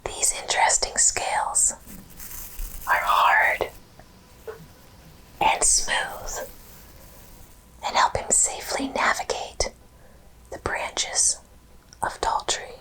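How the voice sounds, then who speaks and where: A woman host narrating educational animal facts in an ASMR style, whispering slowly and deliberately. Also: rumbling low, monotone, sharp tone, low-quality recording. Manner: whispers slowly and deliberately through most of the talk.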